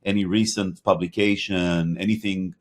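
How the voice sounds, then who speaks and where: A man with a slight Hebrew accent hosting an interview podcast, talking in a halting way with many fillers and restarts. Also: deep voice, fairly even intonation. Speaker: podcast host. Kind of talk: interview conversation.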